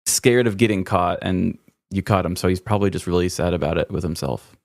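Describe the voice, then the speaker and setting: A man's voice: low-pitched, a little fast, creaky, darkish tone, no room sound, studio quality. A man co-hosting a podcast in casual, conversational chat. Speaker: podcast co-host.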